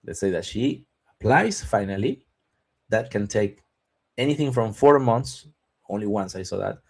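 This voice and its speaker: Man hosting a relaxed webinar. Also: on the low side, moderate pace, breathy edge. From Argentina. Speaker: webinar host answering viewer questions. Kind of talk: live webinar Q&A on visas and taxes.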